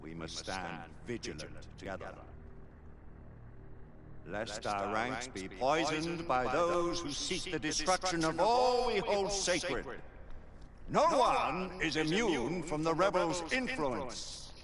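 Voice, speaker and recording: A stern, ancient lord of a knightly order, holds forth in formal, commanding oratory. The pitch moves widely, with a murmuring crowd behind the game audio. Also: male voice, expressive, slow pace. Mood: stern warning. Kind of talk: cinematic video game drama.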